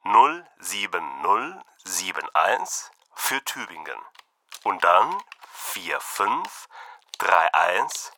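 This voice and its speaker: A man playing a slow, lively learner on the phone. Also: sits low, no echo, heavily acted. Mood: friendly. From Brazil. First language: Portuguese. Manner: slow, carefully enunciated. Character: friendly young male language learner. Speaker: student calling a friend for help. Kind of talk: scripted textbook dialogue.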